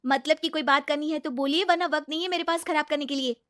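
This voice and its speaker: Young lawyer bride acted by a woman: very high voice, confident, exasperated yet polite, dry recording, comic story. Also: fairly even intonation, quick pace, fairly bright tone, no room sound.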